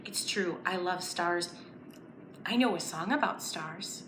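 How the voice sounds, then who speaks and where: A woman presenting a children's story time, speaking in an animated, clearly enunciated way as she invites young children to join in with letters, songs and counting rhymes. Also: on the low side, slow. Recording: roomy echo, faint background noise.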